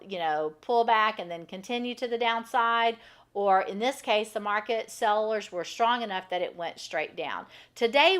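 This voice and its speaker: A woman's voice: fairly high pitch, a bit bright. A woman teaching a live online trading class, chatty and explaining a chart step by step. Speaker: trading instructor. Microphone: microphone first left off, then turned on.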